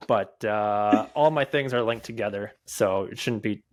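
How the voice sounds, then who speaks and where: A young adult man who stutters, speaking as a podcast guest in casual talk, with frequent fillers, pauses and restarts. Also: on the low side.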